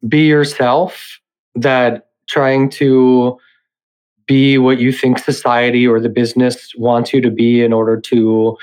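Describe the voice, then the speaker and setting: A man's voice: medium pitch, a little mellow, rough recording. A man speaking casually as a guest in a podcast interview.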